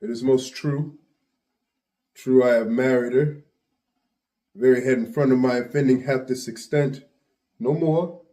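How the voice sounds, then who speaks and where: A man playing a dignified soldier pleading his case in a formal, measured Shakespearean monologue. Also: medium pitch, fairly even intonation, warm tone, natural voice. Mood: solemn, frank. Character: Othello, dignified male soldier defending his marriage.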